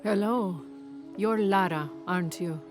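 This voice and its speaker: A woman's voice: mid-range voice, a little slow, natural voice. A woman playing a motherly, fatalistic trader in a video game, speaking in a teasing, philosophical manner. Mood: amused, knowing. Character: knowing, amused female trader, motherly and fatalistic. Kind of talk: video game cutscene dialogue. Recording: music behind.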